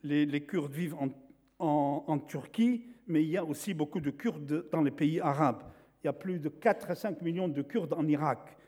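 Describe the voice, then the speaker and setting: A man from northeastern Syria, a native Syriac speaker, talking in French in a measured, explanatory lecture style, often repeating a word as he searches for the next. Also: on the high side, dark tone, breathy edge. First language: Syriac (Aramaic). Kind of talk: lecture-like talk.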